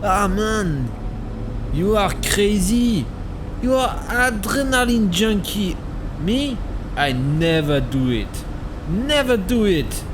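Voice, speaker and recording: A man's voice: sits high, big pitch swings, slow, exaggerated voice. A man playing a friendly, philosophical taxi driver in a radio drama, English. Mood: friendly, teasing. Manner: non-native English, earnest, gently musing. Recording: clearly noisy street and car ambience.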